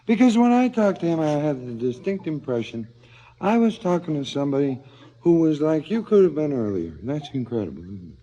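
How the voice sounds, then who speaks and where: Sardonic killer, wildly swinging pitch. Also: male voice, very animated, fairly quick, warm tone. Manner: sardonic, theatrical, swinging from muttering to outbursts. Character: cynical, philosophizing hired killer, theatrical and sardonic. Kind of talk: 1970s radio drama acting. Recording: slightly dull 1970s radio recording.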